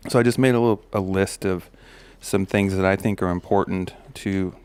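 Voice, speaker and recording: Man, low steady voice, smooth with slight gravel, reflective host talking, dry close sound. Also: normal speed. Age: adult parent of a daughter. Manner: relaxed, musing, many fillers and restarts. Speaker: podcast host. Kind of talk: reflective personal monologue.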